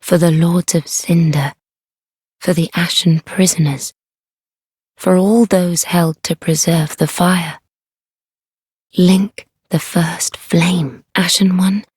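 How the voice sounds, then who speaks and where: A solemn young keeper of a sacred fire for a dark fantasy game. Her female voice is soft and fairly low, recorded dry and close. She delivers archaic, reverent lines at a slow, measured pace with quiet devotion. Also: steady tone, no room sound, exaggerated voice. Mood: solemn, gentle. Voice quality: soft, breathy. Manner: hushed, measured, formal, reverent.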